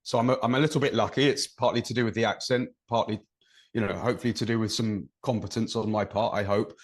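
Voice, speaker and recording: A man's voice: on the low side, steady tone, quick pace. An English man, a referee and podcast co-host, giving long thoughtful explanations in casual conversation. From England. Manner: thoughtful, explains at length with examples.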